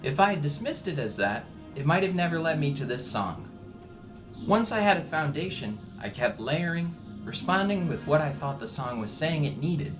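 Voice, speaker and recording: A man giving a reflective, measured scripted narration, as a musician talking about his own work. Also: fairly high pitch, sing-song. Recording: ambient music behind the voice. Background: ambient background music.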